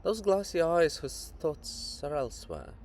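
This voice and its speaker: A man voicing a monument of a brooding, fatherly old poet in a stage drama, speaking in a solemn, searching, deliberate way. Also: on the high side, big pitch swings, darkish tone, a little hiss or hum, pitched above the performer's own voice. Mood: suspicious.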